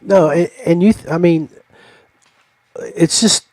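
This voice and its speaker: Older man, dry close sound, slightly higher voice. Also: slow pace, darkish tone, dry recording. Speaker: fishing podcast host. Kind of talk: casual fishing talk.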